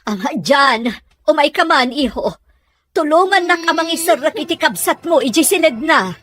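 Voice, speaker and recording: A woman's voice: high voice, animated, moderate pace, a bit bright, soft background music. A woman acting a worried, scolding middle-aged mother in an Ilocano radio drama. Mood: grateful, urgent.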